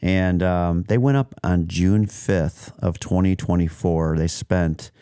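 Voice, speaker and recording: Low, smooth-voiced man hosting a podcast. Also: slow, warm tone, no echo, studio quality. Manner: rambling, many restarts and fillers. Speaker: podcast host. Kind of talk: casual chat. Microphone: studio mic.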